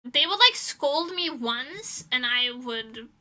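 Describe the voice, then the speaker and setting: A woman's voice: high-pitched, expressive, slow, sharp tone. A woman livestreamer chatting casually with her viewers in a rambling, blunt way, swearing freely. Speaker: livestreamer, illustrator. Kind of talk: casual livestream chat, reading and answering chat messages.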